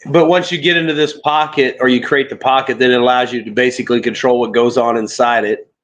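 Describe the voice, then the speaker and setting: A man with a medium-pitched voice explains things as a panel participant. His delivery is casual and loose, and he often searches for the right word. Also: fairly even intonation, fast talker. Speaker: panel participant in live open mic. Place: remote video call.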